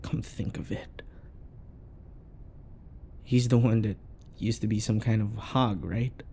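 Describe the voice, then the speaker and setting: A man acts out a possessive yandere boyfriend in an intimate ASMR roleplay. He speaks very slowly, with sighs and pauses and a frequent vocal fry, coaxing sweetly while jealousy and menace sit underneath. A faint rumble of noise runs behind the voice. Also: fairly even intonation, dark tone. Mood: brooding. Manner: coaxing, passive-aggressive, full of pet names, sighs and pauses.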